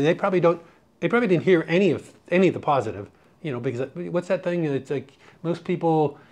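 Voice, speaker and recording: Higher-voiced man giving informal radio coaching. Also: a little mellow. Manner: conversational, rambling, peppered with "you know". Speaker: radio programming coach, former program director. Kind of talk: informal spoken lesson with anecdotes.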